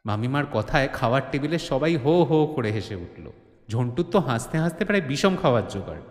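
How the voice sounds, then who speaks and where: A man's voice: mid-range voice, very animated, fairly quick. Male story narrator in heavy reverb. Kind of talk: scripted dramatic story narration. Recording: echoey reverb on the voice.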